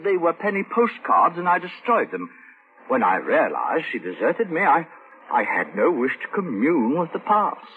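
An actor playing an evasive, genteel British shopkeeper in an old radio drama, speaking in a prim, formal, aggrieved way. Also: male voice, on the high side, huge pitch swings, normal speed, bright, forceful tone, heavily acted. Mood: wistful, evasive. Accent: British English. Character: evasive, genteel shopkeeper husband suspected of murder, male. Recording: thin, noisy old radio recording.

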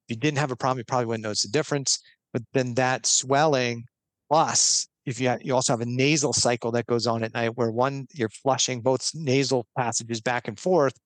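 A man's voice: mid-range voice, no echo. A man talking as a guest expert on a podcast, explaining earnestly step by step with a few restarts.

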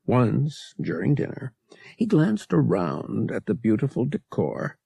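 A man narrating an audiobook dramatically, with deliberate phrasing and pauses for effect. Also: on the low side, creaky, a little mellow, slightly rough, no room sound.

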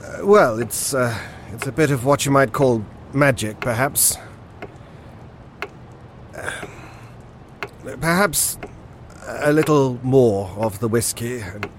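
An actor playing a grave, haunted sergeant major in a horror audio drama, speaking in a halting, ominous way. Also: male voice, medium pitch, slight background noise, close to an everyday voice. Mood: hesitant, guarded.

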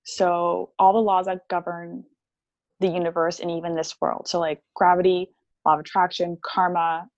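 A woman's voice: on the low side, flat delivery, normal speed, a little mellow, dry recording, dull audio. Chatty young woman explaining casually. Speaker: podcast guest, theta healing practitioner. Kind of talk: casual podcast interview.

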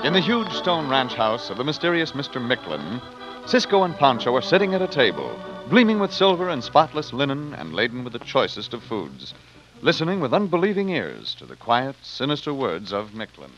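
A man's voice: mid-range voice, sing-song, normal speed, close to an everyday voice. A man announcing and narrating a dramatic radio serial in an old-time broadcast style. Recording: dull old radio recording. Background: organ music.

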